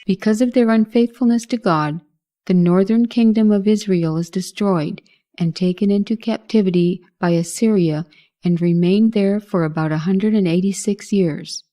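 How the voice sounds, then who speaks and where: A woman narrating a scripted Bible history lesson in a measured, formal reading manner. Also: medium pitch, dark tone.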